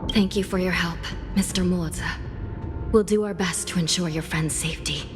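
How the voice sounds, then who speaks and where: A dutiful netherworld judge in a video game, voiced by a woman, with a slightly low female voice. She is formal, solemn and resolute. Music is clearly heard behind her. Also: fairly even intonation, normal speed, natural voice. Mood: polite, reassuring.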